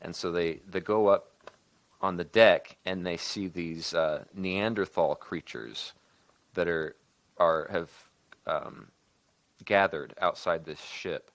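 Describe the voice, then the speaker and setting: Male podcast co-host, low voice, dry close sound, pitches stories informally with frequent rewording. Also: no room sound. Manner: halting, often backtracking and rewording mid-sentence. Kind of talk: casual creative discussion, improvised story pitch.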